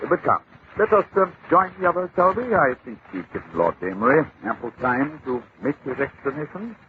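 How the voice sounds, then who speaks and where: A man playing a shrewd, courteous gentleman detective in an old radio drama, speaking in a measured, wry manner. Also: on the high side, sing-song. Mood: calm, shrewd. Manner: measured, wry, hesitant asides of polite deduction. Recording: tinny, noisy old radio recording.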